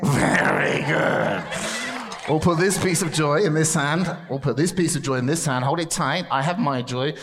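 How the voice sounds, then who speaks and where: A man's voice: fairly high pitch, normal speed, crowd noise. A man presenting a playful object lesson to children in church, laughing often and calling out to the room. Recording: echoey hall.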